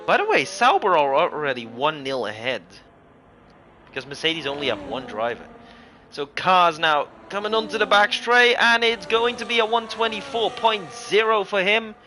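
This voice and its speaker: A man's voice: high voice, big pitch swings, normal speed, pushed and bright, slight background noise. A man from Europe giving casual live sim racing commentary as a livestream host, mixing it with jokey, mock-indignant banter.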